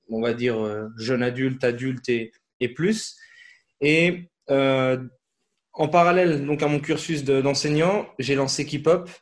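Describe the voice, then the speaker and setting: A man from Switzerland, a podcast guest and fitness coach, speaking in a relaxed, halting way with many fillers and restarts. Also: mid-range voice. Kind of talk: casual podcast interview.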